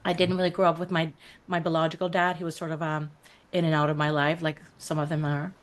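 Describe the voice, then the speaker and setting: A woman from Iceland with a notably low, deep voice talks through her childhood in a relaxed interview. She reflects as she goes, pausing on fillers and telling stories. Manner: reflective, storytelling, frequent fillers and laughs. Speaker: podcast guest, creative coach. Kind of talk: casual interview conversation.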